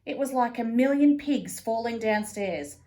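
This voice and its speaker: A woman reading a children's picture book aloud as a storyteller, with an expressive, deliberate delivery. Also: fairly high pitch. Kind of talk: read-aloud for young children.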